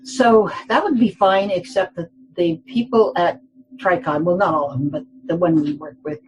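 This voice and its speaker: A woman's voice: on the low side, normal speed, darkish tone, dull audio, a little hiss or hum. A woman in her eighties, a guest telling rambling anecdotes in a casual interview, full of fillers and restarts, often laughing.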